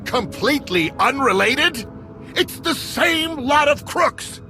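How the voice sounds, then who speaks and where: An actor playing an earnest, easily indignant official in a sci-fi video game, speaking in an urgent, combative way. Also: male voice, extremely high pitch, lively intonation, slow, music in the background, full character voice. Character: earnest, indignant male official distrustful of corporate crooks. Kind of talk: video game cutscene acting.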